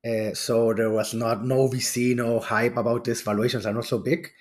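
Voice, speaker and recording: Chilean-guy in his 40s, choppy english, lots of restarts and little laughs, middle pitch. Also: level tone. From Chile and Germany. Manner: non-native English, halting restarts, chuckles often. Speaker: guest, venture capitalist. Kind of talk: casual interview talk.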